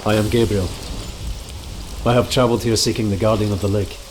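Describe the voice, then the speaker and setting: A man playing a resolute warrior on a dark fantasy quest in a video game, terse and purposeful. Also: fairly low pitch, steady tone, brisk, a little hiss or hum, natural voice. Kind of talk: dramatic video game acting.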